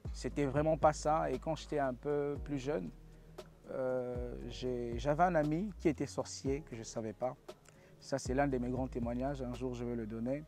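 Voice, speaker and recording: A man's voice: mid-range voice, mellow. A young man from Congo, a pastor, telling his personal testimony in an earnest, preacherly way, with hesitant restarts.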